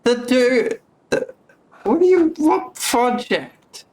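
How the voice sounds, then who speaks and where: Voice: medium pitch, lively intonation, takes it slowly, darkish tone. A game streamer giving casual, blunt, sweary live commentary. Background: game music.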